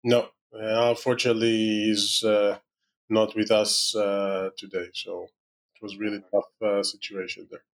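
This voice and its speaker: Israeli-accented man, halting founder talk. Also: on the low side, flat delivery. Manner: halting, many "you know" fillers, non-native grammar. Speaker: startup founder and CEO. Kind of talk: podcast interview answers.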